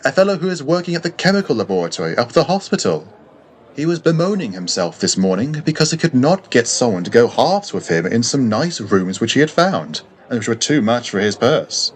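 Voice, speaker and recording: A man's voice: mid-range voice, big pitch swings, a little fast, close to an everyday voice. A man voicing a sociable, curious young male acquaintance in a storybook reading, in a conversational manner. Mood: musing, chatty.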